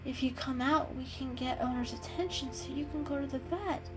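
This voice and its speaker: A woman's voice: sits high, moderate pace, music in the background, voice pushed up, lightly acted. A woman voicing a determined, caring female cat in a storybook reading, earnest and coaxing. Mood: gentle coaxing.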